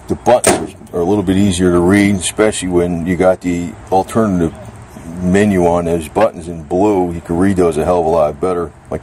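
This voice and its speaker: Low-voiced man rambling casually. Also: darkish tone. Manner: informal, slangy, mildly cursing. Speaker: product reviewer. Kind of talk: casual rambling hands-on review. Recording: clear background noise, outdoor ambience.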